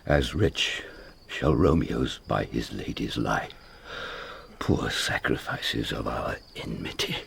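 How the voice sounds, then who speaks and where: A man's voice: a bass voice, lively intonation, slow pace, vocal fry, fairly bright tone, a little hiss or hum, full character voice. An actor playing a humbled, grieving old nobleman making peace in a classical radio drama.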